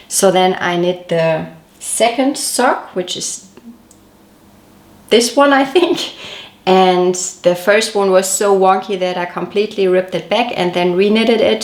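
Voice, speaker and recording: A woman hosting a knitting podcast, chatty and detailed, her voice a little off as she recovers from a cold. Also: medium pitch. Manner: chatty, detailed, gently self-correcting. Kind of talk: casual show-and-tell podcast. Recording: echoey room.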